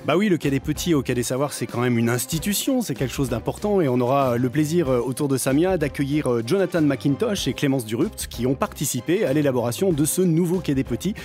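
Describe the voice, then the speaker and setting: A man's voice: mid-range voice, animated, fast, hardly any pauses, a little mellow, faint music behind. A man co-hosting a podcast, previewing the program enthusiastically. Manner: enthusiastic, promotional. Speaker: podcast co-host. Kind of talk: scripted show preview chat.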